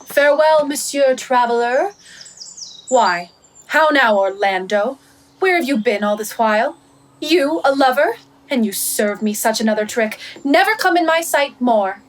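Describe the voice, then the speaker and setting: A high-pitched, witty young woman played by an actress in a Shakespeare radio play. She is bold and brisk, teasing and scolding in declamatory period language. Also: lively intonation, low-quality recording. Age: young adult. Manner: brisk, mocking, declamatory verse and prose.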